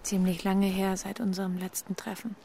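Guilt-ridden East German former lover, played by an actress, gravelly edge, earnest and halting, radio thriller with faint outdoor noise. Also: female voice, medium pitch, little pitch movement, mellow, natural voice. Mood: guarded. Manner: hesitant, earnest, confessional. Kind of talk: intimate radio drama dialogue.

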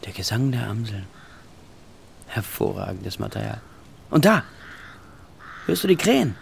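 An actor playing a distracted, dreamy father in a children's radio play, musing and offhand, with a creaky voice. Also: on the low side, very animated, unhurried, slight background noise, exaggerated voice. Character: distracted, dreamy composer father of a young girl.

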